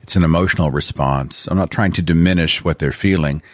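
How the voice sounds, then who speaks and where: A man's voice: low-pitched, brisk, no room sound, clean studio sound. A man with an Oklahoma accent hosting a call-in show, talking in a conversational, emphatic way. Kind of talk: radio-style call-in talk.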